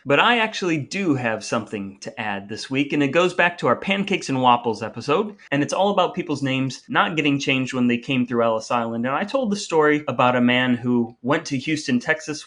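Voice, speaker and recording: A man's voice: medium pitch, a bit bright. A man hosting a podcast in a conversational, partly scripted style, with a wry, wordy, mock-formal way of talking. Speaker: podcast host.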